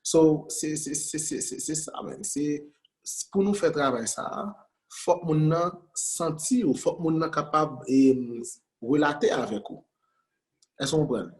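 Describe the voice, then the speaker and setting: A man's voice: on the high side, measured pace. A man, a guest in an interview, talking in a conversational way, often stumbling over and repeating words as he searches for what to say and mixing Creole with French. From Haiti. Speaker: guest entrepreneur.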